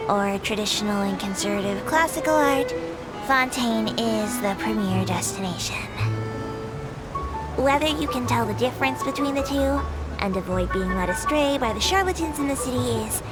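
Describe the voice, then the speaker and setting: A woman's voice: on the high side, expressive, normal speed, close to an everyday voice, low-quality recording. A woman voicing a small, polite creature tour guide in a video game, speaking formally and precisely with dry matter-of-fact asides. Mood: composed, dryly candid. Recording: loud music under the voice. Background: music.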